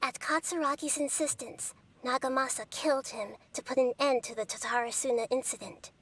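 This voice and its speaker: A woman voicing a wise young girl deity who speaks softly and calmly in a game. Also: high-pitched, a little fast. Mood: explaining. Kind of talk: game character dialogue.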